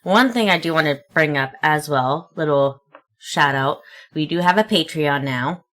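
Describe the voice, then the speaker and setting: A woman's voice: low voice, a little slow, a bit bright. A woman in her thirties co-hosting a podcast, chatting casually and teasing her husband in a playful way. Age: 30s. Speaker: podcast co-host. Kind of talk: casual chat.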